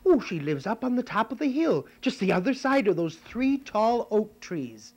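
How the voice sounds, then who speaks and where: Man playing a high, sing-song storybook girl, polite and cheerful. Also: very animated, moderate pace, exaggerated voice. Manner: bright, sing-song, wide-eyed.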